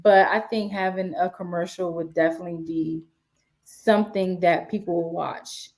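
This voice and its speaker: Woman, 20s, from the DC area; relaxed, chatty podcast guest, lowish mellow voice. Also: normal speed. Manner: relaxed, chatty, many fillers and restarts. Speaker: guest, sports media writer. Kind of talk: casual interview talk.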